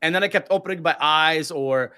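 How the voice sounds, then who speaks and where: A man's voice: on the high side, a little fast, sharp tone, clear, resonant tone, dry recording. Man hosting a casual interview, dry sound. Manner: rambling, thinks aloud with fillers. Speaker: host.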